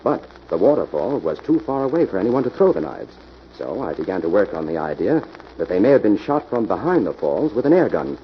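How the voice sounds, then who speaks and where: An American actor playing a confident, commanding detective who explains things methodically in an old-time radio mystery. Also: male voice, on the low side, very animated, brisk. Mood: calm, reflective. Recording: dull, noisy vintage radio recording.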